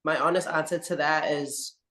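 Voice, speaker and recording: A woman rugby coach answering interview questions in a slow, earnest, reflective way. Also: sits low, fairly even intonation, a bit bright. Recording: roomy echo.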